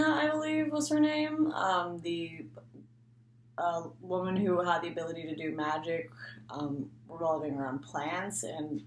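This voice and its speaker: A woman talking casually and unscripted as a book vlogger, rambling and often interrupting herself. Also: low voice. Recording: echoey room, faint background noise.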